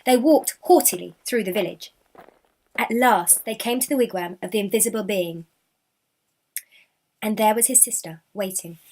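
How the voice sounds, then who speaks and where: A woman narrating a children's storybook in an expressive, dramatic, deliberate storytelling manner. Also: mid-range voice.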